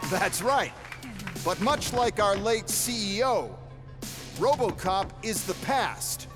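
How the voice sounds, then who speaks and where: Smug flashy corporate boss in a video game, highish voice all over the place, sarcastic showman. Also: sing-song, slow pace, over music, full character voice. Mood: showy salesmanship. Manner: showy, sarcastic, condescending. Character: smug, flamboyant corporate executive man, gleeful and mocking. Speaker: executive and product presenter. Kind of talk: theatrical video game cutscene acting.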